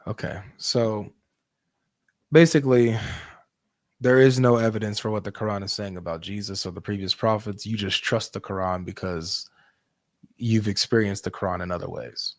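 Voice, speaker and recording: Man, low voice, dry close sound, probing debate host. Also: steady tone, moderate pace, no room sound. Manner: probing, presses with pointed questions. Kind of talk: live debate questioning.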